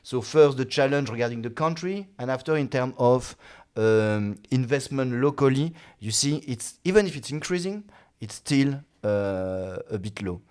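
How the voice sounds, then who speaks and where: A man, a guest expert in an interview, speaking haltingly with many "uh"s and restarts. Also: medium pitch, a little mellow, clear, resonant tone. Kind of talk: casual interview talk.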